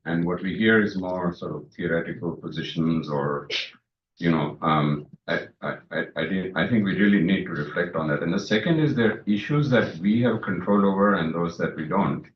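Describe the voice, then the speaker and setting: Very deep-voiced man from India, echoey hall. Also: normal speed, a little hiss or hum. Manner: critical, direct. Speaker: scholar activist. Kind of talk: floor comment at a panel. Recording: echoey hall from far off, dull.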